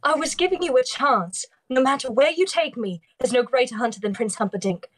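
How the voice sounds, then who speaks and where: A woman's voice: high-pitched, expressive, a little fast, poor audio. A woman voicing a proud, defiant young noblewoman held captive, earnest and insistent, in a live script reading. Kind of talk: live script table read.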